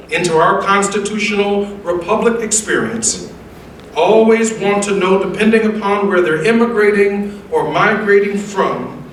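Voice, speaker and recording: A fairly high-voiced American man gives a formal speech in a heavily echoing room, picked up from a distance. His delivery is measured and emphatic, in an oratorical style that leans on repeated phrases like a preacher. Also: a bit bright. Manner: measured, emphatic, rhetorical repetition. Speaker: guest speaker delivering remarks. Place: large echoey indoor event room. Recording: very echoey room, distant mic, faint noise.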